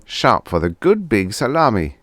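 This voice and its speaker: A man portrays a hefty, jovial, simple-minded stockholder in an audiobook tale. His English is quaintly broken and effusive, the pitch lively, the recording dry and close. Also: moderate pace, dry recording. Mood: jovial, beaming. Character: fat, oily, jovial, simple-minded salami-loving stockholder.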